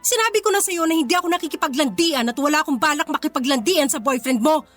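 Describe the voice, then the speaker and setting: A woman's voice: high voice, animated, fast talker, fairly bright tone, faint music behind. A woman acting a poor but proud young working woman in a radio drama, speaking with earnest, wounded dignity. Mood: defiant.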